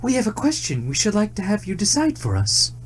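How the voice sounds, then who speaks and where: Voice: mid-range voice, wildly swooping pitch, brisk, a little hiss or hum, voice pushed up. A storyteller voicing a timid young female musk deer in a fairy tale, pleading, earnest and polite.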